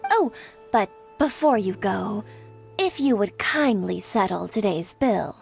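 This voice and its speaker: An actress playing a shrewd merchant woman who sells information, talking in a sly, smooth way. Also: mid-range voice, animated, slow, soft background music. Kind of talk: video game voice acting. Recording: game score behind.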